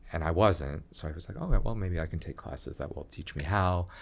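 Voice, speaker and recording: Super low voiced guy, talks slow, pauses a lot, laid back podcast guest. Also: vocal fry. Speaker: comedian and graphic design teacher, guest. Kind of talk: casual interview chat.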